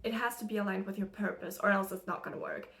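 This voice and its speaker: A woman's voice: medium pitch, steady tone, quick pace, fairly bright tone. A young woman from Germany with an American English accent, chatting in a rambling, casual way with lots of fillers while she does her makeup in her room. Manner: chatty, rambling, laughs often, many fillers. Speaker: spiritual channeler and content creator. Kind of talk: casual get-ready-with-me chat. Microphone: camera used as a mirror, close-up.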